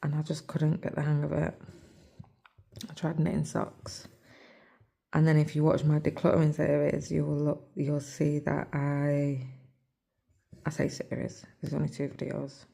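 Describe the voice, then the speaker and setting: An English woman with a hoarse, rough voice, talking softly and almost in a whisper into her phone while she stitches. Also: deep voice, monotone, a little slow, long pauses. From England. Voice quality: soft, hushed, near-whispered voice. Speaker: cross-stitch vlogger. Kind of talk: casual chat while stitching.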